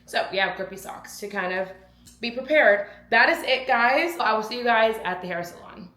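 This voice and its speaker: A woman's voice: fairly high pitch, lively intonation, normal speed, pushed and bright, roomy sound. A young woman chatting casually to camera in a lifestyle vlog, talking to her viewers and to herself and repeating words for emphasis. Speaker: lifestyle vlogger.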